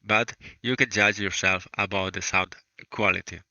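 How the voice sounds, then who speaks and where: A man's voice: low-pitched, steady tone, moderate pace, sharp tone, dry recording. A man from Spain with a Spanish accent, demonstrating a product through a headset microphone inside a mask. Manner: explanatory, careful. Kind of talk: product demo. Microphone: headset mic inside a mask. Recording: muffled dull headset mic.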